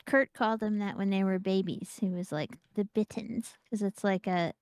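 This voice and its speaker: A woman's voice: mid-range voice, fairly even intonation, moderate pace, a little mellow, no echo. A woman co-hosting a game stream, chatting in a playful, rambling way and often restarting her sentences. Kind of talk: casual gameplay chat.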